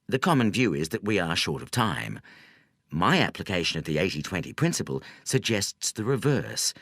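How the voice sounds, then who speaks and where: A man narrating a nonfiction audiobook in a measured, deliberate, scripted reading style. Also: fairly low pitch, a bit bright, no room sound, clean studio sound. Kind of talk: scripted audiobook narration of a self-help business book.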